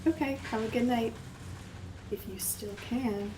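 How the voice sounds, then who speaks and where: A young woman plays a restaurant worker with a taste for spooky tales in a radio drama. The voice sits slightly away from the mic. Loud noise runs through the recording. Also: on the high side, fairly even intonation, measured pace, distant mic, lightly acted. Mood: teasing. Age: young adult. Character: ominous restaurant worker telling a spooky tale. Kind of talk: radio theatre acting. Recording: very noisy, off-mic.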